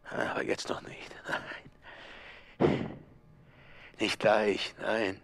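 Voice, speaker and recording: An actor playing a tormented, broken prisoner in a radio drama, pleading and muttering in fragmented repetitions between whispers and outcries. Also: male voice, lowish voice, little pitch movement, drawn-out words, no room sound. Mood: anguished, fearful. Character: tormented male prisoner under torture, broken, delirious, pleading. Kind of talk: German radio drama acting.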